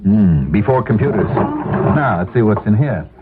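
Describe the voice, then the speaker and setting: A middle-aged office man, wry and resigned, with a hesitant edge of self-doubt. His low voice moves in lively swings, in the manner of seventies radio drama. The recording is thin and tinny. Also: a little slow. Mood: wry resignation. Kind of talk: 1970s radio drama acting. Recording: tinny, noisy old radio broadcast recording.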